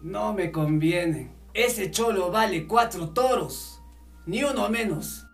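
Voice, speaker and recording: A man playing a proud, cold village hired killer in a radio drama, deliberate and boastful. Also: sits high, soft background music. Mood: cool, bargaining.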